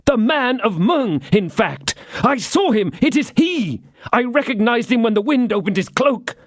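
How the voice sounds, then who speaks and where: Guy doing a fiery young swordsman, voice jumps up high and lively, storybook reading. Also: brisk, dry recording, clean studio sound. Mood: agitated. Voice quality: excited, urgent. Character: young hot-headed male swordsman, impulsive and eager.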